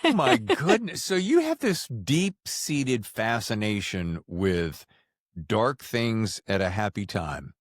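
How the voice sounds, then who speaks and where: A man's voice: on the low side, very animated, slow pace, dry recording, studio quality. A man hosting a podcast interview in a casual, informal and enthusiastic way. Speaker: podcast host.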